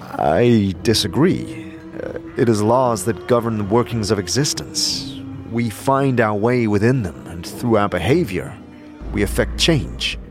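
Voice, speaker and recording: A man plays a plain-spoken adventurer in an audio drama. His lowish voice is slow, guarded and thoughtful, with music underneath. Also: expressive. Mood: reserved, thoughtful.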